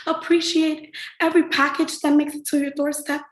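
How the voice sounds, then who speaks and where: A woman's voice: high voice, flat delivery, moderate pace. An actress performing a rhymed spoken-word monologue with dramatic, pleading delivery, a woman in a roomy, echoing recording.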